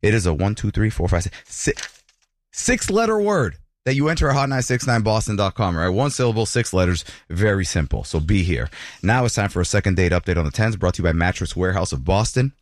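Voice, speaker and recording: Animated male radio morning host. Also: fairly low pitch, brisk, no room sound, clean studio sound. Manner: lively, chatty, laughs easily. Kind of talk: radio show talk and ad reads. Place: radio studio.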